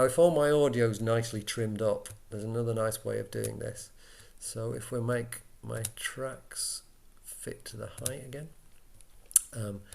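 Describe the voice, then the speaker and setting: Male software trainer, lowish and creaky, informal and tentative, on a laptop mic with light noise and key clicks. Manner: casual, hesitant, thinking aloud. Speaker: tutorial presenter for radio volunteers. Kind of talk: informal software screencast tutorial. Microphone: built-in laptop microphone.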